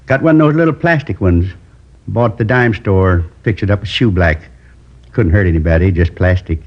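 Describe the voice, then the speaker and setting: An actor playing a lonely man in his seventies who confesses to a robbery in a slow, rambling, wistful way, in 1950s radio drama acting. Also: deep voice. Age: 70s. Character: lonely old male drifter turned robber. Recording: dull old radio broadcast.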